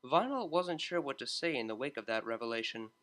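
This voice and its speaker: A man narrating a fan-written story in a steady, measured reading. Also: medium pitch. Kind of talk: fanfiction audiobook narration.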